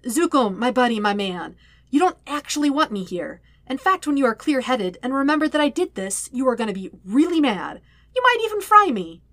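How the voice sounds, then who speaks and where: A woman's voice: high voice, sing-song, fairly quick, fairly bright tone, dry recording, voice pushed up. Casting: woman to play a kind but flustered teen boy for story narration, slangy and hesitant, with swooping intonation. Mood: flustered rambling. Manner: rambling, hesitant, casual slangy talk. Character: flustered, rambling, awkward teenage boy, sarcastic and kind.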